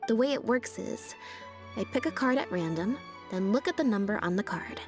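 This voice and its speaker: Woman doing a chill deadpan young magician's assistant girl from a video game, matter-of-fact, bit of music under it. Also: medium pitch, normal speed, close to an everyday voice. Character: laid-back, deadpan young female stage magician's assistant. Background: game background music.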